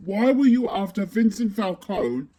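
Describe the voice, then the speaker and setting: A slow, dark and mellow masked female vigilante, played by a woman, brooding in a crime audio drama. She is terse and demanding, questioning deliberately. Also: medium pitch, big pitch swings. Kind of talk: fan audio drama dialogue.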